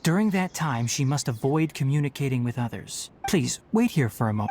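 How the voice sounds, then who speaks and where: An actor playing an earnest, dutiful guardian in a video game, speaking in a formal, measured and courteous way. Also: medium pitch, lively intonation, darkish tone, a little hiss or hum, lightly acted. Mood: courteous, explanatory. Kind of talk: scripted game dialogue. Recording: game music behind the voice.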